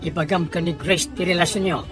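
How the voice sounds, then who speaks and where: A woman voicing a pushy confidante who urges a scheme in low, conspiratorial advice, in an audio drama. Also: fairly even intonation, fairly quick, natural voice. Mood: conspiring. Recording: clear music behind.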